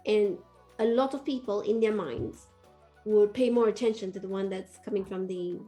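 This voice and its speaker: A woman's voice: medium pitch, moderate pace, warm tone, soft background music. A woman in her forties from Malaysia hosting a podcast over a video call, speaking in a thoughtful, reassuring way. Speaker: podcast host. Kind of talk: casual video-call interview. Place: home room.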